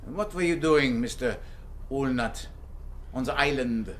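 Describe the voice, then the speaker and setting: An actor playing a coldly polite German naval captain who interrogates a prisoner in a radio drama. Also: male voice, medium pitch, a little slow, fairly bright tone, a little hiss or hum, close to an everyday voice. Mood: stern. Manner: clipped, formal, coldly polite. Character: stern, formal German naval captain presiding.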